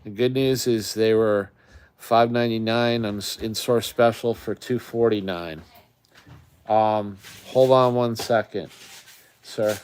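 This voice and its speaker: A man's voice: on the low side, fairly even intonation, slow pace, darkish tone, clean tone. A middle-aged man from the Great Lakes region hosting a camping channel, chatting casually on a live stream in a rambling way with lots of fillers.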